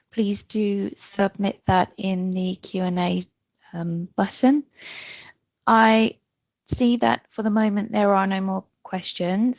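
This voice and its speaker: A woman's voice: medium pitch, gravelly, darkish tone, dry recording, dull audio. A woman hosting a live online question session, politely reading out questions and guiding participants with some hesitation. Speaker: moderator of online Q&A.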